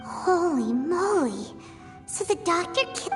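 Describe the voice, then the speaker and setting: A squeaky, excitable little fairy. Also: female voice, slow, over music, full character voice. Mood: confused, shocked. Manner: exclamatory, squeaky. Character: tiny excitable childlike female fairy companion, naive and blunt. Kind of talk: video game voice acting.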